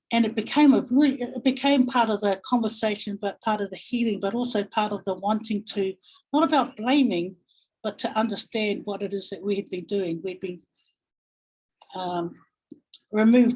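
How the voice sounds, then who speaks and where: A woman with a New Zealand accent speaking reflectively in a webinar, pausing thoughtfully and searching for her words. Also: medium pitch, darkish tone, breathy edge, rough recording. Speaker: guest webinar speaker, family violence practitioner.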